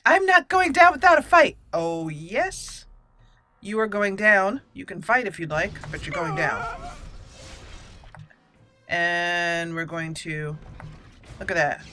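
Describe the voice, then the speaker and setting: A woman giving casual, playful gameplay commentary with animated reactions, now and then reading game text aloud. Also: mid-range voice, slow pace, pauses often, a little hiss or hum. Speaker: host of a game roleplay channel.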